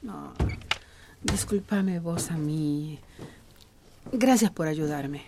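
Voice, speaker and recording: An actress playing a guarded but tender activist in a radio drama, brisk and easily flustered. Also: female voice, low-pitched, gravelly, heavy background noise, heavily acted. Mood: soft, shy.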